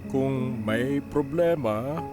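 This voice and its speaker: A man playing a concerned, gentle middle-aged father in a radio drama, with a Filipino accent. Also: mid-range voice, drawn-out words, over music. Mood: concerned, tender.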